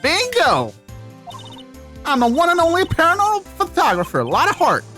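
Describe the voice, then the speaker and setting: Brash southern gal, game photographer, high-pitched, swooping delivery, pushy folksy twang, excitable. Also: female voice, normal speed, soft background music, full character voice. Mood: brash, friendly. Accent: American South.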